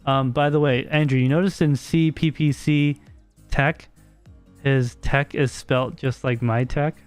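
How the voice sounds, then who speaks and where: A man's voice: medium pitch, steady tone, unhurried, clear, resonant tone, faint music behind. An American man hosting a casual podcast livestream, chatting with viewers and reading their messages, often repeating words as he thinks aloud. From United States.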